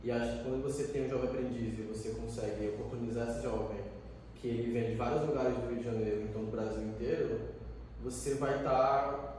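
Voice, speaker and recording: A man's voice: medium pitch, steady tone, fairly quick, a little mellow, slight background noise. A man in his twenties, an educator, talking earnestly and reflectively in a casual conversation. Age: 20s. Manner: reflective, earnest, many fillers. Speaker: youth educator. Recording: echoey room, distant mic.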